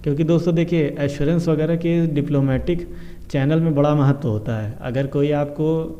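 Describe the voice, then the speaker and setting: Very dark-voiced Indian man explaining news. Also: on the high side, steady tone, moderate pace. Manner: explanatory, repetitive, mixing in English terms. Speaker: host of a current affairs explainer show. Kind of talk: informal news explainer commentary addressed to viewers. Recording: dull, muffled lo-fi sound.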